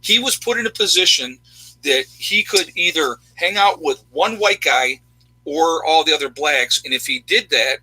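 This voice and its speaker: A man's voice: on the high side, expressive, normal speed, sharp tone, breathy edge, dry recording, rough recording. A man in his fifties from upstate New York, talking bluntly and emphatically in a casual chat with viewers. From New York State, USA. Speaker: channel host, army veteran.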